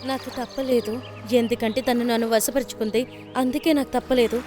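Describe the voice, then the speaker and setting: A woman as a high-voiced kind young mermaid. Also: steady tone, fairly quick, darkish tone, faint music behind, close to an everyday voice. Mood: apologetic. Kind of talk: children's cartoon voice acting.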